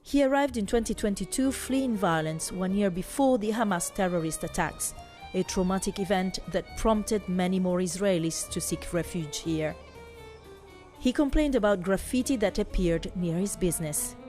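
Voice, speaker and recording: A woman's voice: mid-range voice, moderate pace, darkish tone. A woman reporter reading scripted narration for a news report. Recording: music bed behind voice. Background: music.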